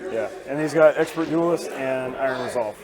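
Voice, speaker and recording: A hoarse-voiced man talking casually as he plays a tabletop wargame. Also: mid-range voice, a little fast, rough recording. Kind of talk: casual game talk. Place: game store during a tournament. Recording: busy game store crowd behind.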